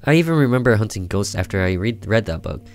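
Low-voiced man reading a horror game. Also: expressive, fast talker. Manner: theatrical storytelling with side comments. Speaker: let's player. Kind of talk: reading a horror visual novel aloud. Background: game music with guitar.

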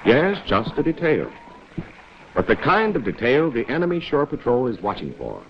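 Wry american guy narrating an old 40s training film, swoopy pitch, scolding the characters, muffled film sound. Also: wildly swooping pitch, moderate pace. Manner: wry, chiding, rhetorical. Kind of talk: 1940s instructional film narration, addressing characters directly. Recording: dull 1940s film soundtrack, music.

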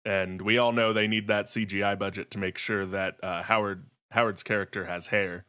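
A man's voice: on the low side, steady tone, normal speed, bright, forceful tone, clean tone. A man co-hosting a podcast, talking in a mock-earnest conversational style. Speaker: podcast co-host.

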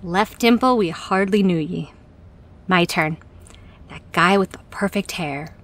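A woman's voice: fairly low pitch, a little slow, fairly bright tone. Frank, wry woman boss. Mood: playful. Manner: wry, candid, casual. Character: frank, dry-humoured female boss and podcast host. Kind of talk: audio drama acting, conversational.

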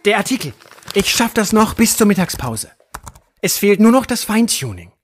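A man voicing an eager, nervous young newspaper trainee in an audio drama, talking in an anxious, hurried way. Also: sits high, big pitch swings, soft background music, pitched above the performer's own voice. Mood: breathless, urgent.

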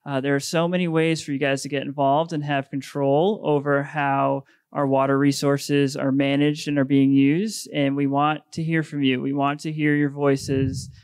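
A man in his thirties with a gravelly yet smooth voice talks to a forum in a flat, even tone. His delivery is casual and encouraging. The sound is dry and close. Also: medium pitch, normal speed, hardly any pauses, no room sound. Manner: casual, encouraging, many fillers. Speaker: waterkeeper. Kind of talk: public forum presentation.

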